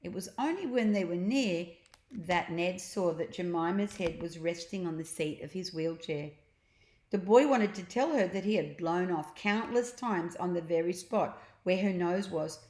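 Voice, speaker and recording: A woman's voice: on the low side, unhurried, a little mellow. A woman narrating a children's story aloud, sometimes chatting about the pictures. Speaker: storybook reader. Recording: echoey, roomy home recording.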